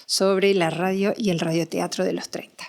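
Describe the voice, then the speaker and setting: A woman's voice: fairly low pitch, little pitch movement, moderate pace, noisy background. A woman with an Argentine accent hosting a conversational podcast interview, feeling her way through her questions.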